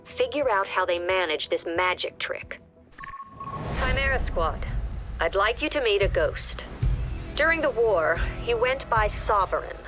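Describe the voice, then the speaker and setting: A woman voicing a mission commander with a mid-range voice who gives orders in a crisp, matter-of-fact tone. Game music plays clearly beneath her. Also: medium pitch, animated, measured pace, natural voice. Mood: commanding. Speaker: mission commander giving briefings. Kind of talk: game briefing voice acting.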